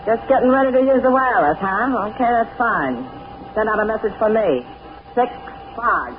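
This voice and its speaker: A woman's voice: fairly high pitch, expressive, moderate pace, narrow, tinny audio, lightly acted. A woman playing a hard-boiled gang leader with a tough, slangy drawl, in a 1940s radio drama. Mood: menacing. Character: hard-boiled female gang boss, cool and menacing. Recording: scratchy 1940s radio broadcast.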